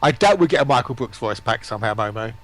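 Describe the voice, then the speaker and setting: Male panelist, average pitch, informal livestream conversation. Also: animated, quick pace, fairly bright tone. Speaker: stream panelist. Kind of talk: casual livestream chat.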